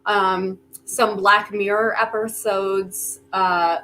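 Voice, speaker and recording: A woman's voice: mid-range voice, flat delivery, takes it slowly, bright, forceful tone, faint music behind. A woman chatting casually as an actor on a video call, piecing her thoughts together with some hesitation. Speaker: actor in a post-show discussion. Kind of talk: casual chat. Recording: noisy video call audio.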